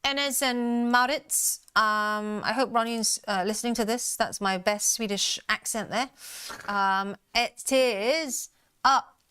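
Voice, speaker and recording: A woman's voice: on the high side, takes it slowly, pushed and bright, clear, resonant tone. A woman hosting a live trading show, chatting through stock moves with many fillers and an upbeat manner. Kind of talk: live market commentary chat.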